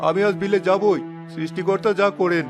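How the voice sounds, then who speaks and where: A man's voice: high-pitched, steady tone, fairly quick, music in the background, close to an everyday voice. A man playing a poor village fisherman and father in a cartoon, desperate and anguished. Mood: desperate resolve. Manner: pleading, anguished. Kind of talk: dramatic cartoon voice acting.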